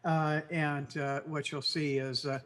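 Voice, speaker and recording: A man's voice: on the high side, flat delivery, takes it slowly, fairly bright tone. Man with a congested, nasal voice. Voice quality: slightly nasal, croaky. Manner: rambling, many fillers and restarts. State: congested, recovering from flu. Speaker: co-host. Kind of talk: casual news chat show.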